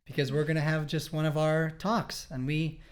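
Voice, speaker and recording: Canadian guy in his 30s hosting a chill relationship chat, slightly high voice, rambly. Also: moderate pace. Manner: relaxed, rambling, joking asides. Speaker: podcast host.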